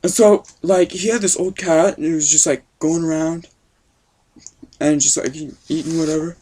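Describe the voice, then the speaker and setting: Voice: medium pitch, steady tone, moderate pace, warm tone, husky, natural voice. Someone putting on a folksy old gramps telling a rambly made-up story, slangy young wording. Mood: rambling storytelling. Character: totally wicked awesome old grandpa telling a tale.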